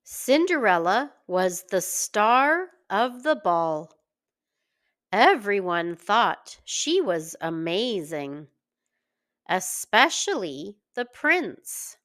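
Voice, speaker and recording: Woman, children's read-aloud narration, very unhurried pace, lively pitch swings, deliberate and expressive. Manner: slow, deliberate, expressive delivery. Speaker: children's story reader and teacher. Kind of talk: scripted read-aloud storytelling for young children.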